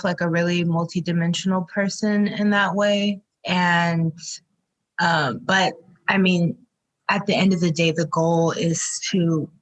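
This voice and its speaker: A young woman from Trinidad, a doula and guest, talking reflectively in a casual interview with many fillers and restarts. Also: lowish voice. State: three months postpartum.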